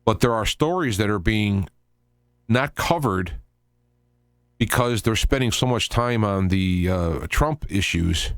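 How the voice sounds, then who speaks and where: A man hosting a live talk radio show, giving unscripted commentary in a rambling, halting way with stops, starts and digressions. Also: on the low side, lively intonation, smooth, dry recording.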